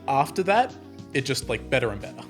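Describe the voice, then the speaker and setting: A man's voice: medium pitch, very animated, fairly quick, fairly bright tone, soft background music. A man co-hosting a podcast in chatty, casual talk with stumbling restarts. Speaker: podcast co-host. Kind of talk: casual chat.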